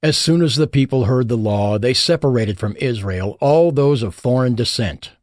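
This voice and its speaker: Male narrator, mid-range pitch, solemn, measured, scripted Bible reading, very dry studio sound. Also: no echo.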